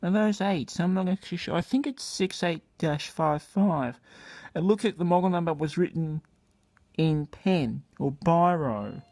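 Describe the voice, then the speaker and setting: A man's voice: on the high side, unhurried, darkish tone. Australian man, hesitant and detail-focused. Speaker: vintage radio hobbyist, video maker. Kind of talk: casual unscripted show-and-tell demonstration. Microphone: handheld camera or phone microphone.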